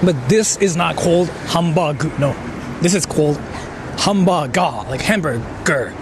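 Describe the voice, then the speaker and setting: A man's voice: a little high, unhurried. A man from Japan chatting casually on a walking livestream, explaining things in an informal, repetitive way. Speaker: live streamer. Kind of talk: casual livestream chat while walking and eating. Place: outdoors on a city street. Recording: noisy outdoor street with traffic ambience.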